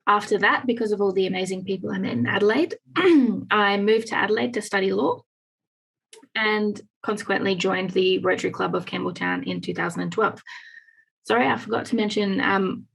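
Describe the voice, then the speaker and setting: An Australian woman in her late twenties reads her bio from notes at a brisk pace. She is the club's president and speaks clearly, with a middle-range voice. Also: medium pitch, fairly even intonation, a bit bright, low-quality recording. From rural New South Wales. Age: late 20s. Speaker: club president. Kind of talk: reading a bio.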